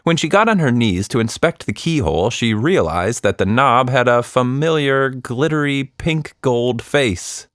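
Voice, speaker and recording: A man narrating a scripted children's story in an expressive storyteller's manner. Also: medium pitch, measured pace, hardly any pauses, no echo, clean studio sound. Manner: expressive, animated reading.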